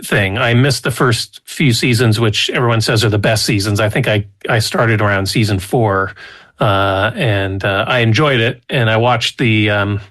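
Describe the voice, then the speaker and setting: A man co-hosting a podcast in casual chat, with a hesitant, halting way of talking. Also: lowish voice, fairly bright tone. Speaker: podcast co-host.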